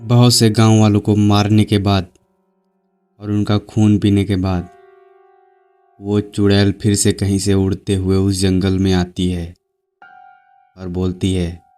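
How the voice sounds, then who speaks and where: A male narrator telling a horror cartoon story in an even, unhurried way. His voice is deep, dark and very monotone, delivered slowly over faint music. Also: clean tone.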